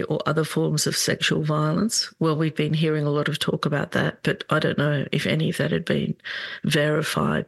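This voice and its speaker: A middle-aged woman hosting a solo commentary podcast, talking in an earnest, indignant way with halting restarts. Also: deep voice, vocal fry, husky, no room sound. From Australia. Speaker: podcast host.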